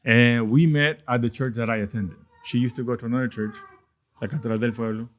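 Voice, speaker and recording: A man from Honduras, a podcast guest, telling family stories in a relaxed, casual way with occasional laughs. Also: mid-range voice, fairly quick. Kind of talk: casual storytelling.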